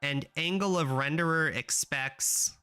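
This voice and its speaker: A young man streaming himself coding, chatting casually with viewers, swearing and getting excited as he works. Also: on the high side, measured pace, clear, resonant tone. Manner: excitable, swearing, talks to chat while coding. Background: faint music.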